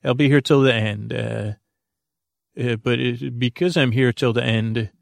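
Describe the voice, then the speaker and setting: A man hosting a sleep podcast, rambling in a halting, meandering, goofy way with many tangents and false starts. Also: mid-range voice, vocal fry, dry recording, studio quality. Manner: goofy, whimsical. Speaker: podcast host.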